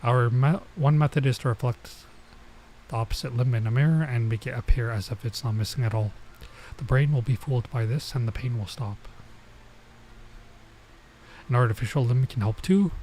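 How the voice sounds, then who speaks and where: A man's voice: medium pitch, a little fast, warm tone, a little hiss or hum. A man streaming a visual novel, reading it aloud and dropping in casual asides. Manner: stops to comment and translate Japanese phrases. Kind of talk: let's play read-aloud with casual asides.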